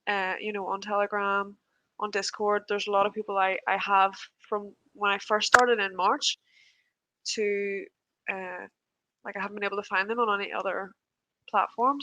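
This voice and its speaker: A woman's voice: mid-range voice, level tone, moderate pace, sharp tone, dry recording, dull audio. A woman hosting a casual livestream chat, talking in a chatty, hesitant way with lots of filler words. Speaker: host of a livestream chat show.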